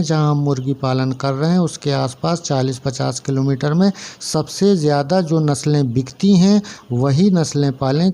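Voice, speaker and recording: A man's voice: on the high side, dark tone. A man who hosts a poultry farming channel, speaking casually and earnestly to his viewers, explaining step by step and listing items one after another. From central India. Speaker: poultry farmer and channel host. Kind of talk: casual instructional talk to camera.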